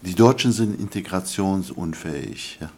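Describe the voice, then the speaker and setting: An older man speaks, his voice sitting very low. He answers like a lecturing scholar, earnest and halting, often pausing on fillers as he builds his point. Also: darkish tone, breathy edge. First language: Arabic. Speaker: guest scholar. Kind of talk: interview answers.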